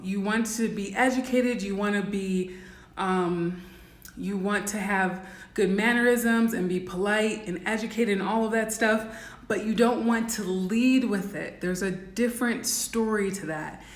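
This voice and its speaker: A woman with a raspy voice giving blunt, instructive coaching advice in a casual livestream talk, full of "um" and "like". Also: medium pitch, echoey room. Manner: blunt, instructive, many "um" and "like" fillers. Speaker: dating and life coach.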